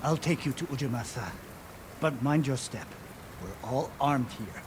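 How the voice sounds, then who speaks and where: A man's voice: mid-range voice, expressive, unhurried, noisy background, natural voice. A man playing a suspicious town guard in a video game, speaking in a wary, challenging way.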